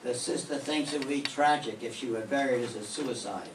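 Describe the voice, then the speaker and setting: A man's voice: mid-range voice, fairly quick, lightly acted. An older man playing a blunt, persistent private detective in a radio drama, questioning in a clipped way. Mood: probing. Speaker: amateur radio drama performer. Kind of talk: live reading of a 1940s radio detective drama. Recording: echoey room, distant mic, faint noise.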